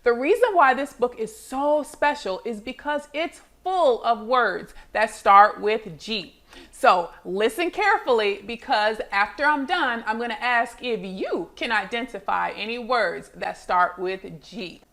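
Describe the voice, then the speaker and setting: A woman teacher giving a read-aloud lesson for young children, with clear instructional delivery and expressive storybook narration. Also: on the high side, measured pace.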